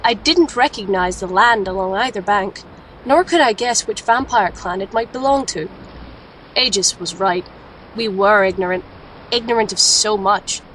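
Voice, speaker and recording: A woman playing a resolute, thoughtful alchemist warrior who narrates an audio drama in a measured, serious way. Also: medium pitch, a bit bright, slight background noise, lightly acted, rough recording. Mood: brooding. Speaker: lead character and narrator. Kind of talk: audio drama dialogue and reflective first-person narration.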